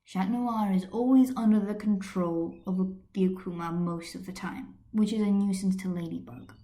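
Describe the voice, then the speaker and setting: A woman's voice: medium pitch, fairly even intonation, normal speed, mellow, lightly acted. A woman voicing an earnest, easily flustered teenage schoolboy in a fan fiction story, with a questioning manner. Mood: arguing. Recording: echoey room on computer microphone.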